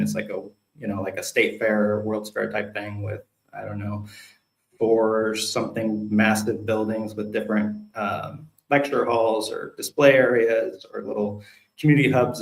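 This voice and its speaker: A man with a low, gravelly voice talks through a community update in an informal, conversational way. His delivery meanders like casual chat. The sound carries a clear roomy echo. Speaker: community town hall co-presenter. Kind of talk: casual presentation recap.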